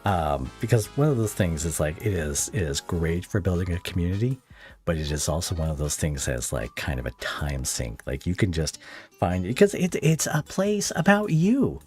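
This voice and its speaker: Very deep-voiced man hosting, music underneath. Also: very animated, moderate pace, a little mellow. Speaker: podcast host, content creator. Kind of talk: casual podcast interview chat. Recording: music playing under the talk.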